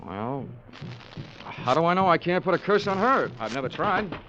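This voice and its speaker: An actor playing a wry, tough insurance investigator in old radio detective style, sardonic and clipped. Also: male voice, on the high side, sing-song, normal speed, background noise. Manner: sardonic, clipped hard-boiled delivery. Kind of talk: 1950s radio detective narration and dialogue. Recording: dull old radio recording, music behind.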